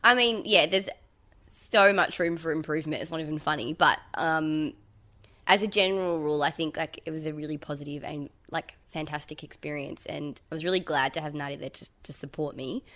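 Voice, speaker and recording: A woman co-hosting a podcast, telling a story in casual chat with lively acted-out quotes. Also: low voice, a little fast. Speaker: podcast co-host.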